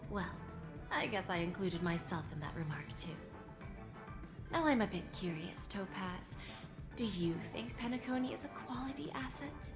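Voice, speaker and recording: Shrewd, expressive woman schemer over game music played by an actress. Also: medium pitch, moderate pace, natural voice. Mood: amused, probing. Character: shrewd, composed woman schemer with sly amusement. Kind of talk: voiced video game dialogue. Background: background music.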